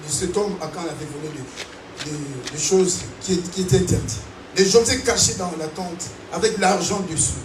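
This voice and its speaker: A man from Africa preaching a sermon to a congregation, animated and calling out to them. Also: high-pitched. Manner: animated preaching with call-and-response. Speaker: pastor. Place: large church hall. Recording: echoey hall, distant mic, noisy.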